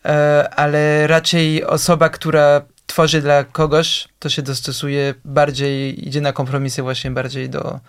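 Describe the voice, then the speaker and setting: A man's voice: on the high side, completely flat delivery, a little slow, hardly any pauses, clean tone. A young man, a musician, talking in an interview, hesitant with many fillers and restarts. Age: young adult. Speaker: guest, musician. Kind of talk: casual interview talk.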